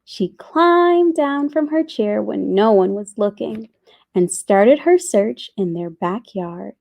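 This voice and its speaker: Slow, deliberate woman storyteller. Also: medium pitch, expressive, vocal fry, highs cut off. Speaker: children's storyteller. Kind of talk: scripted storybook narration.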